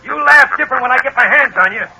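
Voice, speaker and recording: A man's voice: very high-pitched, rapid-fire. A man playing a hot-tempered, menacing gangster boss in an old-time radio drama, curt and sneering. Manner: curt, sneering, barking orders. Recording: noisy, crackly vintage radio recording.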